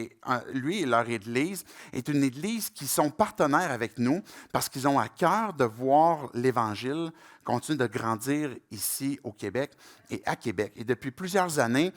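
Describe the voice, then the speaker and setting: A man's voice: medium pitch, a bit bright. A male pastor giving a loose, casual introduction to a church service. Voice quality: casual, filler-heavy speech with restarts.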